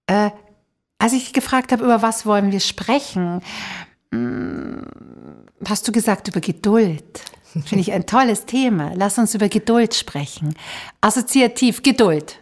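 A woman's voice: medium pitch, lively intonation, unhurried. A woman hosting a podcast interview, thinking aloud and searching for her words in a conversational way. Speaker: podcast host.

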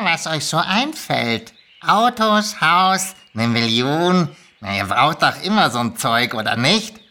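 An actor doing a grumpy magical kobold from a storybook. The voice is sharp and forceful, and the pitch swings up and down. He haggles with sly nonchalance and is quick to get irritated. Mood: casual, wheeling-dealing. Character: grumpy, sly male kobold dealmaker, ancient magical creature. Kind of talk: lively audiobook character reading.

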